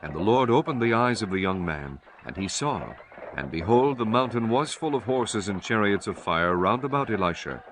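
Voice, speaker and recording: A man narrating dramatized scripture in a solemn, measured storytelling manner. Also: lowish voice, expressive, background noise. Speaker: audiobook narrator. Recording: music bed under the voice. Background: music.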